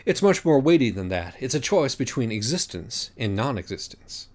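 A man's voice: medium pitch, very animated, moderate pace. Man as a dignified, ceremonious woman judge. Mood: grave. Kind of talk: audiobook character voice.

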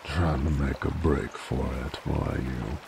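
Very deep gravelly Southern werewolf hunter. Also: male voice, steady tone, heavily acted. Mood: angry, straining. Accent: American Southern. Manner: slow, folksy, threatening drawl. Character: gruff, menacing adult male werewolf bounty hunter. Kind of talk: roleplay audio acting, drawling cowboy talk. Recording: clear trickling stream noise behind. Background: flowing water ambience.

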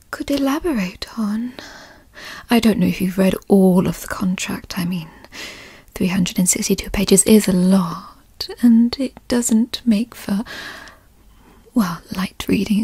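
A woman's voice: mid-range voice, steady tone, mellow, no room sound, full character voice. Whispering possessive girlfriend, acted by a woman. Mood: coy, hesitant. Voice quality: breathy, mostly whispered. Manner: slow, coaxing, hesitant, formal wording. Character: possessive, doting yandere girlfriend, sweetly controlling and coy. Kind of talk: whispered ASMR roleplay. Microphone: close microphone, dry sound.

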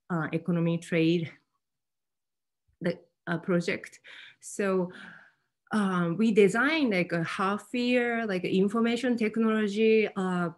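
A woman with a Japanese accent gives an informal presentation in halting non-native English, often saying "like" as she searches for words. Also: lowish voice. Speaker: fab lab presenter. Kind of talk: informal presentation at an online meetup. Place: online video meeting.

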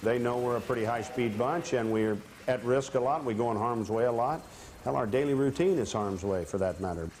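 A man's voice: mid-range voice, fairly quick, darkish tone, a little hiss or hum. A man speaking bluntly and emphatically in an informal interview as a special forces member. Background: music.

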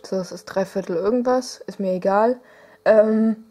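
A woman's voice: medium pitch, moderate pace, warm tone. A young woman chatting casually as she unboxes stickers at her desk, hesitant with many fillers and small giggles. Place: indoors at a desk, home room.

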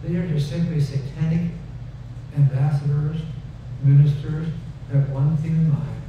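A man's voice: on the high side, steady tone, moderate pace. An older man preaching a sermon from a pulpit in a folksy, conversational way, repeating phrases for emphasis, then leading a prayer. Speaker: pastor. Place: wood-paneled church stage, indoors. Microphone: headset mic at a pulpit. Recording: echoey room, distant and dull, noisy.

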